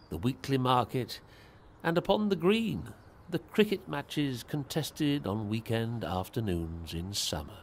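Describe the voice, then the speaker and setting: An actor playing a worldly, measured male sleuth, narrating an audio drama in a slow, deliberate storytelling manner. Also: mid-range voice, a little mellow, slight background noise.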